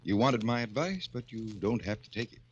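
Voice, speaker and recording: An actor playing a calm, calculating psychiatrist in an old-time radio drama, speaking in a measured, clinical way. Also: male voice, on the low side, husky. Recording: muffled, hissy old radio recording.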